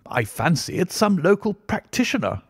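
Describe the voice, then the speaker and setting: A man voicing a hearty, unflappable country colonel with bluff, old-fashioned gentlemanly talk in an audiobook story. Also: sits high, sing-song, normal speed, slightly rough, no echo, clean studio sound, pitched above the performer's own voice, full character voice. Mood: coolly concerned. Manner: bluff, old-fashioned upper-class phrasing.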